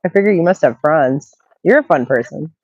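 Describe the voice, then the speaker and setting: A woman's voice: sits low, lively intonation, a little fast, a little mellow, no room sound, highs cut off. A middle-aged woman hosting a casual call, chatty and tired from being sick, reading chat messages aloud. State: tired, recovering from illness. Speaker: channel host.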